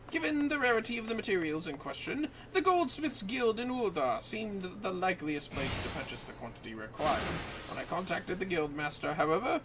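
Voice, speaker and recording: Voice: mid-range voice, sharp tone, slight background noise, rough recording. A streamer voicing a courteous, formal scholarly man from a video game, with theatrical old-fashioned diction. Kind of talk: video game dialogue read aloud in character.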